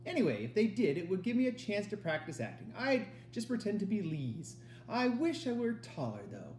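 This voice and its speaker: A man's voice: sits high, very animated, normal speed, a little mellow, echoey room, slight background noise, pitched above the performer's own voice. A man voicing a nervous, dreamy young girl with a theatrical streak in a storybook reading. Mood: wistful. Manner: intones grandly when play-acting.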